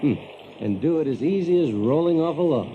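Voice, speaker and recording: A man's voice: fairly high pitch, a little fast, muffled recording, background noise, lightly acted. An actor playing a brash, practical Yankee factory man who tells his tale in a folksy, chuckling way for an audio drama. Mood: proud, amused. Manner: folksy, chuckling, animated, drawling emphasis. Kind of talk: audio drama first-person storytelling.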